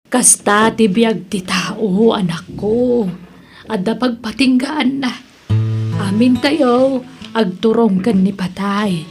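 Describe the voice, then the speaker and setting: A woman playing a devout, gentle older mother in an Ilocano audio drama, with a solemn, counselling manner. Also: on the high side, takes it slowly. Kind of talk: Ilocano radio-style drama acting. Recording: music under the voice.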